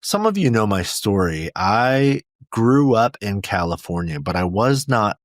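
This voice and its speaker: Deep-voiced earnest male podcast host. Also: big pitch swings, unhurried, no room sound, clean studio sound. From South Korea. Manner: earnest and reflective, with small restarts. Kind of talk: podcast intro monologue.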